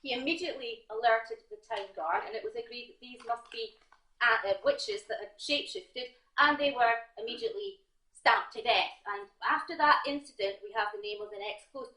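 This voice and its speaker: A woman with a Scottish accent and a high-pitched voice tells a comic tall tale on stage. She talks straight to the audience, pausing and correcting herself. The theatre gives her voice a roomy echo. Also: sharp tone. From Scotland. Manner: halting, punning, addresses the audience directly. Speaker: competition storyteller. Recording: roomy, echoing theatre recording.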